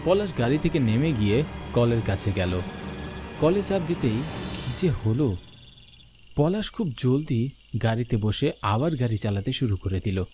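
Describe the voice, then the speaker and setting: A man's voice: medium pitch, very animated, a little slow, pauses often, dark tone, soft background music. A man narrating a scripted horror story in the first person. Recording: background noise with night crickets.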